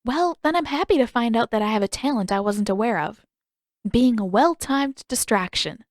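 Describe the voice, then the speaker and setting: A woman's voice: a little high, lively intonation, normal speed, dry recording, natural voice. Earnest teenage fantasy heroine, eager, frank and informal, played by a woman narrator, closely dry recording. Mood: friendly.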